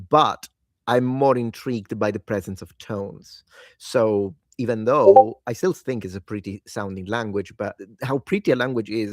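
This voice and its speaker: A man whose first language is Italian chats late at night in a somewhat low voice. He rambles with excitement and catches and corrects himself mid-sentence. The sound is dry and close, with no room echo. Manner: enthusiastic, rambling, self-correcting. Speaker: language-learning video host. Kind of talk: casual solo talk to camera.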